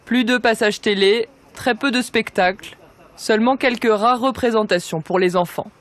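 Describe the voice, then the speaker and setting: A woman's voice: a little high, normal speed. A woman reporter delivering scripted documentary narration.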